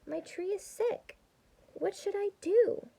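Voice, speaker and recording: An earnest little girl from a children's storybook, voiced by a woman reader. Her voice is raised and soft-edged, with a plaintive, questioning manner. She sounds worried and sincere. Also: very high-pitched, slow pace, gravelly, voice pushed up, exaggerated voice.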